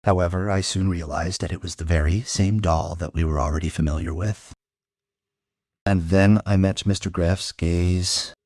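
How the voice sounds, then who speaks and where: A man playing a grim, hard-bitten police investigator, narrating a crime audio drama in a measured, brooding way with a husky voice. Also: low voice, fairly even intonation, a little mellow, dry recording, clean studio sound. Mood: musing, grave.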